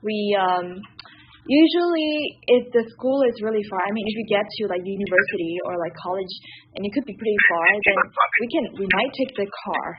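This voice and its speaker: A woman's voice: mid-range voice, expressive, a little fast. A woman hosting a talk show, upbeat and asking the guest questions. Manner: upbeat, asks follow-up questions. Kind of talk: hosting an interview. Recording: dull lo-fi audio with faint noise.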